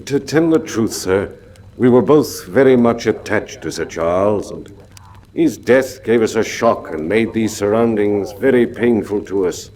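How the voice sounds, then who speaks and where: An actor playing a sombre, deferential butler in a radio drama, speaking formally and with servile restraint. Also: male voice, lowish voice, lively intonation, a little slow, a little mellow, slight background noise. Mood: subdued, uneasy. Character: sombre, deferential male butler of an old manor.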